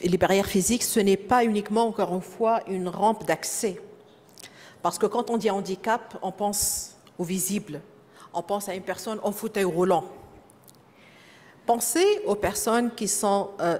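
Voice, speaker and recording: A French-speaking woman speaks at a professional development session in a somewhat low voice. Her talk is full of fillers and restarts as she works out each point. The sound carries a long echo from the hall around her. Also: a little mellow. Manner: frequent fillers and repeated words. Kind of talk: conference presentation. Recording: echoey hall.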